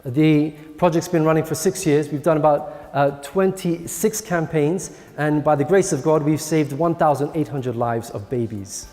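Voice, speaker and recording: A man's voice: fairly high pitch, normal speed, few pauses, warm tone, in a large hall, faint music behind. A man giving a prepared presentation as a charity speaker.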